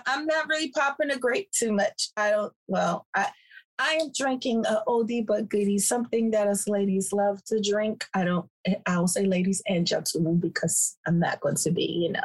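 Hoarse woman podcast co-host. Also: medium pitch, poor audio. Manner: laughing, lively, easygoing. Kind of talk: casual chat.

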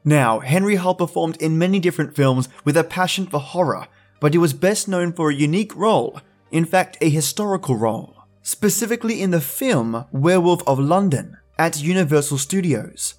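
Higher-pitched male podcast host. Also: lively intonation, moderate pace, a little mellow, faint music behind. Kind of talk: conversational podcast commentary to listeners.

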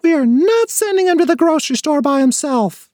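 A man's voice: extremely high pitch, lively intonation, brisk, darkish tone, dry recording, clean studio sound, voice pushed up. A man reading a children's story, voicing a strict, scolding mother in a raised voice. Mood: firm. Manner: scolding, emphatic. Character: bossy mother, middle-aged woman, strict.

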